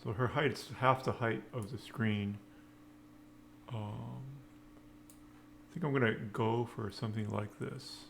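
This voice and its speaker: Guy doing a casual coding walkthrough, kinda halting, lots of vocal fry, bit of noise behind him. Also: medium pitch, fairly even intonation. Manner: hesitant, halting, unscripted phrasing. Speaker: programming tutorial presenter. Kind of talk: casual screencast tutorial, thinking aloud while coding.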